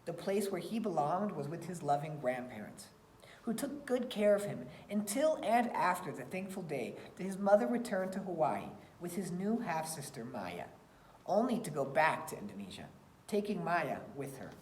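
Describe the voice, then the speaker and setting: A woman reading a children's picture book aloud in an expressive storytelling style. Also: fairly low pitch, normal speed, slight background noise. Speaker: read-aloud reader. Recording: roomy, echoey sound.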